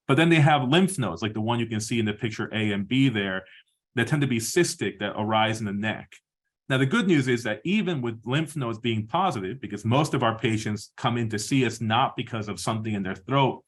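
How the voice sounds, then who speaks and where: A middle-aged man from Peru giving a measured webinar lecture as a surgeon, explaining slides with frequent restarts and fillers. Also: medium pitch.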